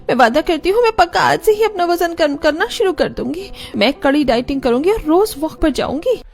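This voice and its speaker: A woman plays a young cartoon wife with a high, pleading voice. She sounds childlike and whiny as she delivers scripted story dialogue. Soft music runs underneath. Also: lively intonation, brisk, vocal fry. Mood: sad. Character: plaintive young overweight wife, childish and food-loving.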